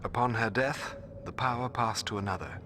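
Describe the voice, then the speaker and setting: An actor playing a learned, solemn male mentor in a video game, narrating lore in a measured, formal way. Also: mid-range voice, background noise, natural voice. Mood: grave, scholarly. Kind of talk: scripted storytelling narration.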